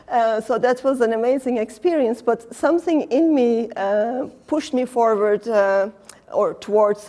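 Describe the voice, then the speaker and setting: A middle-aged woman from Turkey with a Turkish accent, a design researcher talking casually in an interview, pausing often with fillers. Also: medium pitch, mellow. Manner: thoughtful, many fillers, laughs often. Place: design exhibition venue.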